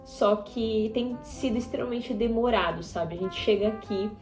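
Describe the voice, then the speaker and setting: A woman's voice: a little high, moderate pace, a little mellow, roomy sound, soft background music. A Brazilian woman in her twenties chatting casually to her viewers while she paints, rambling with lots of fillers and tag questions. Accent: Brazilian Portuguese. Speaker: YouTuber.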